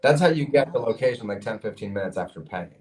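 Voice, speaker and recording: A man's voice: lowish voice, lively intonation, fast talker, crowd noise. A young man from Ukraine with an American accent, telling stories loosely with lots of "like" on a casual podcast. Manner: storytelling with lots of "like" and quoted dialogue. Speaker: podcast co-host. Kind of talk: casual chat.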